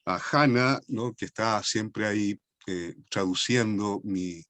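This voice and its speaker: A man with a Chilean accent, a poet opening his lecture slowly and thoughtfully, with many hesitations over a video call. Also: fairly low pitch, fairly bright tone, no room sound. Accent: Chilean Spanish. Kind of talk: formal lecture introduction.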